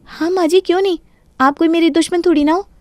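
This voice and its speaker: A woman's voice: very high voice, fairly even intonation, fast, dry recording. A woman voicing a sly, sweetly apologetic young daughter-in-law in a Hindi cartoon story. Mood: sweetly helpful. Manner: mock-innocent, pleading, sugary.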